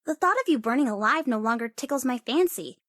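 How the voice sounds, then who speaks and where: High-pitched, sassy young sorceress. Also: female voice, lively intonation, fast, a bit bright, dry recording, studio quality. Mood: taunting. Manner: sassy, playful, teasing, theatrical. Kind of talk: video game character voice lines.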